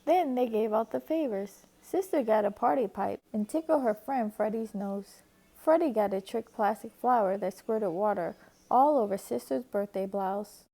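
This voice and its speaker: A woman reading a children's picture book aloud with expressive, lively narration. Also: mid-range voice, moderate pace, rough recording. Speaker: storybook reader.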